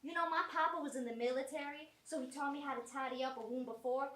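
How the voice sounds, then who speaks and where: A woman playing a kindly, prim Southern country woman in mourning, folksy and gently scolding, in a live amateur stage comedy. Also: sits high, fairly even intonation, fast. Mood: tender. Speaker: actor in a staged reading of a short play. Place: small college theatre stage. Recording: roomy theatre, mic at a distance.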